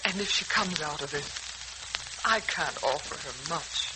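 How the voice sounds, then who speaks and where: A woman's voice: sits low, lively intonation, unhurried. An actress playing a worried, genteel mother in a 1940s radio serial, dramatic and earnest. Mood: sorrowful, pleading. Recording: noisy, crackling old 1940s radio recording.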